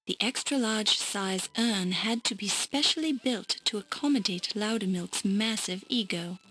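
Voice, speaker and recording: A woman's voice: mid-range voice, a bit bright, low-quality recording. A woman reading scripted game narration in a dry, wry descriptive manner.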